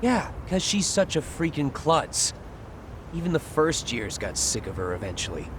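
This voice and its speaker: A man's voice: on the high side, sing-song, normal speed, noisy background. An actor playing a brash, mouthy young male student underling in a video game, slangy and flippant.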